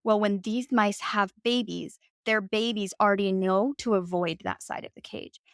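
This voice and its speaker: A woman's voice: medium pitch, steady tone, moderate pace, clear, resonant tone, no echo, studio quality. A woman speaking as a podcast guest, talking in a reflective way with lots of "like" and "right?". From United States. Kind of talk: conversational interview.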